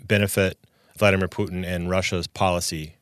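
Man, podcast host, very deep voice, dry studio sound, measured formal delivery. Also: level tone, a bit bright, smooth, no echo. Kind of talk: scripted intro and interview questions. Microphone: studio microphone.

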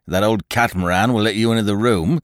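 A man voicing a grumpy, harassed police inspector in an audiobook reading, with a gruff, morose delivery. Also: lowish voice, fast talker, no room sound, clean studio sound, close to an everyday voice.

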